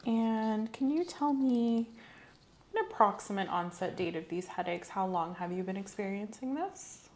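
A young adult woman speaking softly and reassuringly as she questions a patient in an ASMR medical roleplay. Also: mid-range voice, expressive, measured pace, slight background noise. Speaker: host playing a nurse in a roleplay. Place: small indoor room set up as an exam room.

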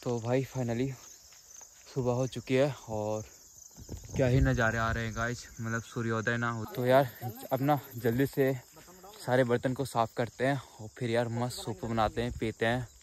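A man's voice: mid-range voice, flat delivery, moderate pace. A young man vlogging from a forest campsite, talking casually to viewers and joking with his friends, recorded on a handheld camera. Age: young adult. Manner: chatty, addresses viewers as guys, jokes with friends. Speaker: camping vlogger. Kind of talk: casual vlog chat. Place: outdoors in a forest campsite and inside a tent.

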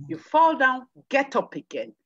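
A woman's voice: high voice, wildly swooping pitch, a little slow, a bit bright. A woman on a video call giving emphatic, motivational words of encouragement. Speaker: attendee. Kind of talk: motivational remarks. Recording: dull video call audio.

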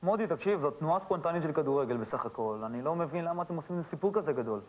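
Unapologetic footballer, man, slightly high. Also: animated, low-quality recording. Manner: defiant, unapologetic. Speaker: footballer guest. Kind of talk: comic sketch guest.

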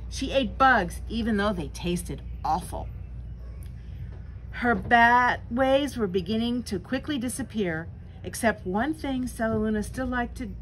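Older female storyteller, 60s, high voice, unhurried dramatic picture-book delivery, noisy room. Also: animated, a bit bright. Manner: slow, dramatic, deliberate phrasing. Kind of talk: expressive read-aloud for children with asides. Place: small bookstore, indoors. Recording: clear background room noise.

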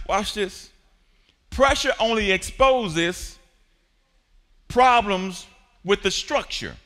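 A high-pitched, bright-toned man preaches from a church stage, swinging from quiet stretches to shouted peaks and calls for the congregation to answer. His voice rings through a large hall with a long reverb tail. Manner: preaching with rising shouts and call-and-response. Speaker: pastor. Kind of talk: sermon.

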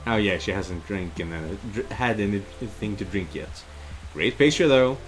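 A man's voice: lowish voice, moderate pace. A middle-aged man giving casual commentary on a game he plays, reading its text aloud expressively. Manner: expressive, exclaiming. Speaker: streamer playing a visual novel. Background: game music and rain sounds.

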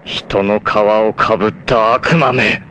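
An actor playing a proud, passionate, fiercely protective martial-arts warrior in an anime, with a dramatic, terse, masculine delivery. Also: male voice, lowish voice, steady tone, slow pace, heavy background noise. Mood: anguished. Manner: dramatic, terse, masculine, swinging from hushed to shouting.

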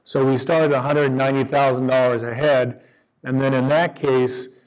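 A man's voice: mid-range voice, little pitch movement, moderate pace, poor audio. A man on a conference panel in a conference room, explaining costs and margins in a matter-of-fact way. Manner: explanatory, business-focused. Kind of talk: panel discussion.

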